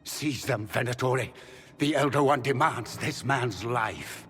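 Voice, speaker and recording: A man's voice: mid-range voice, a little slow, a bit bright, soft background music, close to an everyday voice. An actor playing a fanatical magister villain and desperate father in a video game, grave, grandiose and imperious. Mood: commanding, bitter. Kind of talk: video game character acting.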